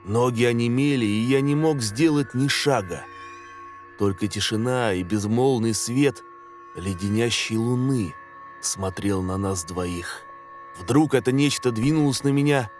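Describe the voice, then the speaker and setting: A man reading a scary story as a dramatic scripted narration, in a measured, suspenseful way. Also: medium pitch, darkish tone. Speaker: narrator of horror stories. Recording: music under the voice. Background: background music.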